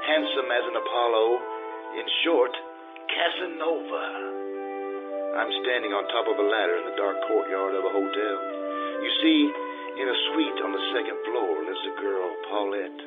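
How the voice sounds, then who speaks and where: An actor playing an aging, boastful Casanova in a florid, theatrical old-time radio drama style, through a vintage radio filter. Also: male voice, lowish voice, normal speed. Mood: boastful seductive. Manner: florid, theatrical, self-admiring. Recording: tinny vintage radio sound with music.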